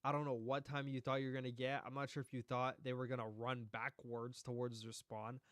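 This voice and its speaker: A man talks through match footage in blunt, rambling, profane commentary, his voice wearing thin. His tone stays clean and smooth. The sound is dry and close on the mic. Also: medium pitch, flat delivery, brisk, a bit bright, dry recording. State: losing his voice. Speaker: streamer reviewing esports matches. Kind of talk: casual live-stream commentary.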